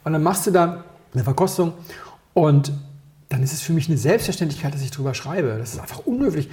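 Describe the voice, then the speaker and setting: Fast-talking man on a podcast. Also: fairly high pitch, lively intonation, dark tone. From Berlin. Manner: rambling, anecdotal, quick asides and self-corrections. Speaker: podcast co-host and wine taster. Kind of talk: casual podcast chat.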